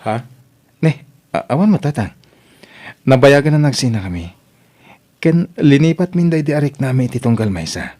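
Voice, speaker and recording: A man's voice: medium pitch, very animated, moderate pace, pauses often, warm tone. An actor playing a dutiful grown son, a young working man, in an audio drama with an Ilocano accent. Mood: respectful.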